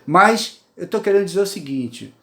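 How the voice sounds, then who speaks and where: Earnest Brazilian man in his sixties, high voice. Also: animated, normal speed, fairly bright tone, reverberant. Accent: Brazilian Portuguese. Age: 60s. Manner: rambling and earnest, checks in with listeners often. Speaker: poet and writer sharing views. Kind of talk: earnest personal monologue to camera. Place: his apartment.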